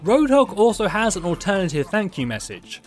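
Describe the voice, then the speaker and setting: A man's voice: high-pitched, big pitch swings, moderate pace. A man hosting a video, reading scripted narration. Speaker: video host. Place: close mic voice-over with music behind.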